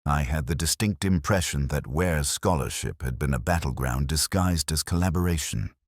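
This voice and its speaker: A man narrating a scripted first-person mystery story in a measured, formal, literary manner. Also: extremely low pitch, no echo, studio quality.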